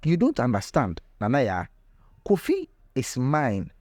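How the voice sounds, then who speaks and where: A man's voice: medium pitch, wildly swooping pitch, a little slow, a little mellow, no room sound, studio quality. A man voicing a possessive, desperate village grandmother in a folktale, insistent and defensive as she speaks. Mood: defiant.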